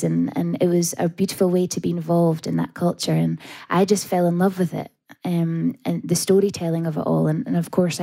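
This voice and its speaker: A Scottish woman, a folk singer, talking softly with many pauses and fillers about her background. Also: on the low side. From Scotland. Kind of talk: interview and live stage talk.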